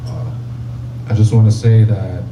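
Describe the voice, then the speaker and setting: A young man with a deep voice, speaking slowly and haltingly as a panelist sharing his experience. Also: animated. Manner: slow, halting, soft-spoken. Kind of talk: panel testimony. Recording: dull, echoey room with noise.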